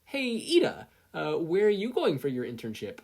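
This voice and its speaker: A narrator voicing an earnest, excitable teenage boy hero in training, eager, polite and hesitant. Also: mid-range voice, moderate pace, creaky, pitched above the performer's own voice, heavily acted. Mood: nervous.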